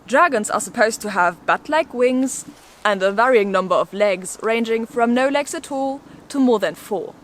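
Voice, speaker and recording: A woman with a fairly high voice presents a scripted explainer. Her delivery is playful and a little theatrical, with casual asides to the viewer. There is noticeable noise in the background of the recording. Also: animated, normal speed. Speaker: video host presenting an educational explainer.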